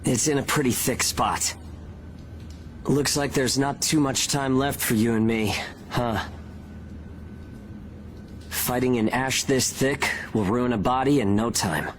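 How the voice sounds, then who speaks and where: An actor playing a calm, earnest and determined young male monster-hunting soldier in a video game cutscene. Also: mid-range voice, slow pace, pauses often, slight background noise, natural voice. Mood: calm, casual. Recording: music behind the voice.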